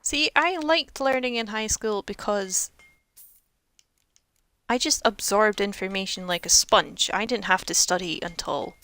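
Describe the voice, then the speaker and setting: A woman's voice: mid-range voice, sharp tone, dry recording. A young woman in her twenties, rambling casually over a video game she plays. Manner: rambling, chatty, self-mocking. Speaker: game streamer. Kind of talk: casual commentary over gameplay.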